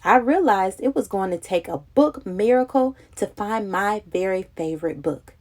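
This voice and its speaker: A woman reading a children's picture book aloud in an expressive, dramatic, childlike storytelling manner. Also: mid-range voice, moderate pace.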